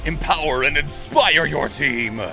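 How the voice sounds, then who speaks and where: A man's voice: on the high side, unhurried, vocal fry, sharp tone. A man reading dramatic, portentous scripted narration as a trailer narrator. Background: prominent music.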